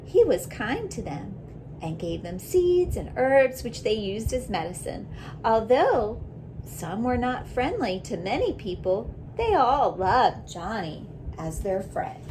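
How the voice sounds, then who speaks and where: A woman with a fairly high voice reads a children's story aloud at a very slow pace. She is deliberate and breaks off for reflective questions, as a teacher would. Clear background noise runs through the recording. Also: sing-song, darkish tone, poor audio. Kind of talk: children's picture book read-aloud with asides.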